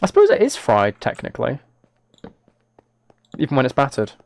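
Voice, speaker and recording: A man's voice: medium pitch, wildly swooping pitch, normal speed, creaky, a little mellow, no echo. A British man chatting casually on a game livestream, talking to viewers in a mock-exasperated, self-deprecating way. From United Kingdom. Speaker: live streamer.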